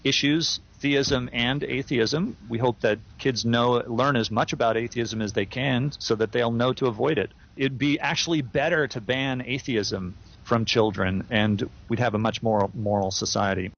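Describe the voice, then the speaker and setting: A man's voice: medium pitch, moderate pace, gravelly, few pauses, a bit bright. A man co-hosting a podcast, giving firm opinions in a casual chat with fillers and restarts. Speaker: podcast co-host. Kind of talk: casual chat, reading listener email. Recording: dull lo-fi sound.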